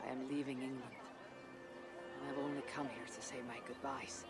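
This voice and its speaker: Game cast: actor playing a weary Viking wanderer, clipped and dry, low-key delivery. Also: flat delivery, a little fast, loud music behind the voice, close to an everyday voice. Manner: dry, terse, quiet. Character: weary Viking warrior bidding farewell. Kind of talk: video game cutscene acting.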